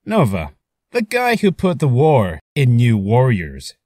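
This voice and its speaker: A man's voice: medium pitch, wildly swooping pitch, takes it slowly, darkish tone, no echo, clean studio sound, full character voice. A man voicing a cocky young superhero with breezy, joking taunts for a voice reel. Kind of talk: character voice reel.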